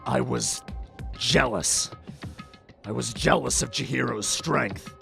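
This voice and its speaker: A man playing a tough, hot-tempered, guilt-ridden young man in an anime fandub, intense and halting, moving between hushed confession and yelling. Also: mid-range voice, gravelly, exaggerated voice. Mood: anguished, remorseful. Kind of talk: dramatic anime-style fandub acting. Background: faint music behind the voice.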